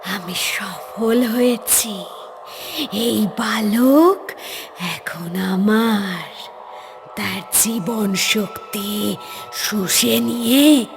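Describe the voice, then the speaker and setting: A woman voicing an evil, deceitful, power-hungry demoness in a horror cartoon, with drawn-out theatrical delivery. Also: medium pitch.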